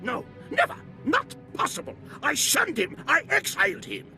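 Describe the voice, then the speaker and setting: An actor playing a deranged, tyrannical Imperial commander who rants bombastically and hysterically in a video game. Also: male voice, extremely high pitch, huge pitch swings, moderate pace, bright, forceful tone, faint music behind, heavily acted. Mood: frantic, defiant.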